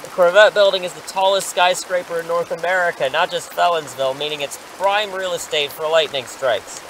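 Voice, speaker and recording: A man's voice: fairly high pitch, fairly quick, fairly bright tone, slight background noise, natural voice, poor audio. A man playing an eager, cocky English teacher who is secretly a superhero, breezy and persuasive, in an audio drama. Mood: upbeat.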